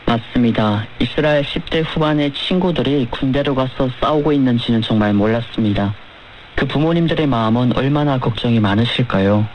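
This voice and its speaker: A man's voice: mid-range voice, brisk, darkish tone. Man on noisy shortwave radio. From North Korea. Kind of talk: measured radio talk and letter reading.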